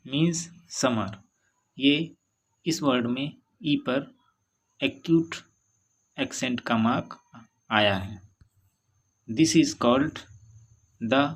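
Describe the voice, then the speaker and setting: A man with an Indian English accent teaching French in a bilingual lesson, reading English lines slowly and then explaining them in Hindi. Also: medium pitch, fairly bright tone, slightly rough, poor audio.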